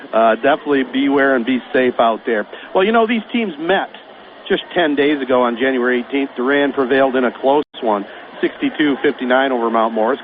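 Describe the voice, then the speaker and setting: A man with a Midwestern American accent calling a high school basketball game on local radio, folksy and chatty. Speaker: play-by-play announcer. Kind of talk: radio sports pregame talk. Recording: phone-like radio broadcast with faint noise.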